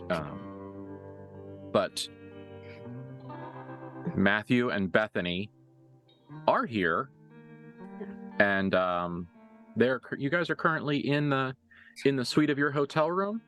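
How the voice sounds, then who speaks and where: A man acting as game master, narrating a tabletop horror game in halting, deliberate, descriptive phrases. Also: medium pitch, fairly bright tone. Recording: music playing behind the voice.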